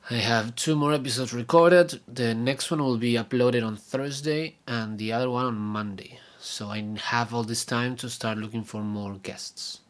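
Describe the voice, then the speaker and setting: A young man from Argentina, a native Spanish speaker with an Argentine accent, giving a casual video update in a rambling, halting way with many fillers and restarts. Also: mid-range voice. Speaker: vlogger and podcaster.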